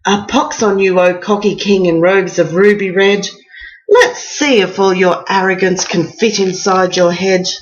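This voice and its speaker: A woman's voice: medium pitch, measured pace, muffled recording, natural voice. Storybook peasant sorceress, read by a woman: blunt, unimpressed, scolding, taking her time. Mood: vengeful. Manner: blunt, matter-of-fact scolding.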